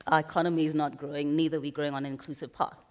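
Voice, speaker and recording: Deep-voiced Kenyan woman panelist. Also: level tone, very fast. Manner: earnest, emphatic, thinks aloud with fillers. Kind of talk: panel discussion. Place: conference hall. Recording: reverberant conference hall.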